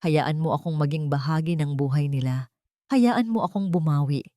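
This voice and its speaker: A woman's voice: deep voice, moderate pace, mellow, dry recording, clean studio sound, close to an everyday voice. Woman narrator as a remorseful, pleading father. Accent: Filipino. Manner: pleading, humble. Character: remorseful pleading father begging for his children.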